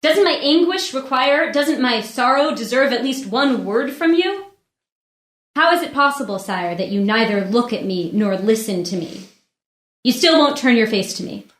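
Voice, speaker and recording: A woman's voice: a little high, lively intonation, normal speed, a bit bright. An actress playing a proud, wronged noblewoman in a classical stage drama, speaking in formal, declamatory speeches. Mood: anguished pleading. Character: wronged noblewoman in armor, proud, pleading, vengeful. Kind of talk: classical stage verse drama, unrehearsed read. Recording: roomy echo around the voice.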